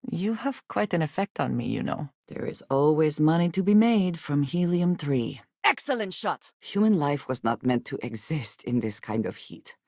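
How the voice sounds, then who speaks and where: A woman voicing a guarded, earnest space explorer in a video game, with measured, formal phrasing and few contractions. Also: low-pitched, sing-song, mellow, dry recording, clean studio sound, full character voice. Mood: calm, sincere, then urgent, combative. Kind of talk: scripted video game companion dialogue.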